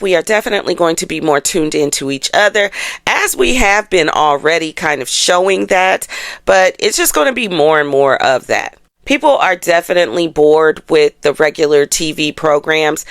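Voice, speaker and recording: A woman's voice: sits low, a bit bright, no echo. An American woman hosting a channel, chatting casually and directly to her followers with a sassy, rhetorical manner. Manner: sassy, direct address with rhetorical questions and tag phrases like "okay?". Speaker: astrology channel host. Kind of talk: casual, conversational commentary to followers.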